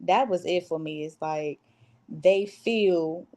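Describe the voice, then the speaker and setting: Low-voiced woman telling stories casually. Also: slow, warm tone. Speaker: stage actress, interview guest. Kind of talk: casual conversational interview answers.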